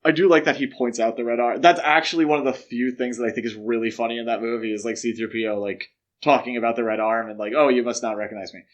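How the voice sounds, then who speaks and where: A man's voice: mid-range voice, steady tone, fast, dull audio. Man casually talking films as podcast host. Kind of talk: casual film discussion.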